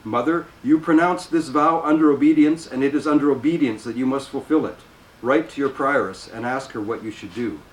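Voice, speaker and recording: A man's voice: mid-range voice, fairly quick, lightly acted. A man reading the lines of a cautious chaplain in a careful, reasoning way, heard in a roomy space from a distant mic. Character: cautious male priest, chaplain, prudent.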